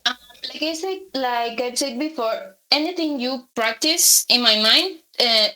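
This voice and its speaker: A young woman with a Spanish accent, a native Spanish speaker from Latin America, chatting hesitantly as a guest artist in an interview, with many pauses and fillers. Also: high voice, pushed and bright, low-quality recording. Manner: hesitant, many ums, laughs lightly. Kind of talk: casual interview chat.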